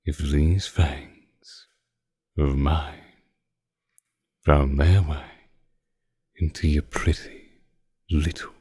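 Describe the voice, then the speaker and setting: A man playing a seductive, possessive vampire in an intimate ASMR roleplay, teasing his captive with condescending tenderness in a slow purr. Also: a bass voice, steady tone, mellow, slightly rough, dry recording, heavily acted. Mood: predatory, teasing.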